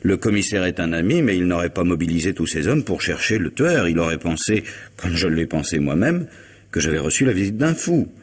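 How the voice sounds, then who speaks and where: An actor playing a suave, middle-aged lawyer in a French radio mystery, wry, urbane and unruffled. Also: male voice, low voice, big pitch swings, brisk, slightly rough, exaggerated voice. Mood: measured, serious. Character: suave, ironic middle-aged male lawyer, coolly self-possessed.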